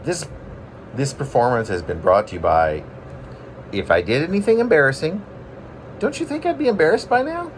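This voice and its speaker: A man's voice: a little high, sing-song, normal speed, pauses often, slight background noise, rough recording. A man reading his own poems in an informal, rambling way, with self-deprecating asides and playful drama. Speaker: poet reading own work.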